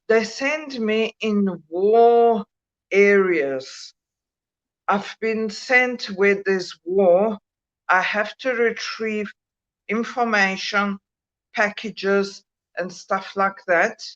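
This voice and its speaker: South african italian-accent lady on a muffled call, slow and halting, lots of ums. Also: mid-range voice, a bit bright. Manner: hesitant, many ums, slow storytelling. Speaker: call-in guest. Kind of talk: casual chat, recounting a dream experience. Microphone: video call. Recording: muffled bad connection.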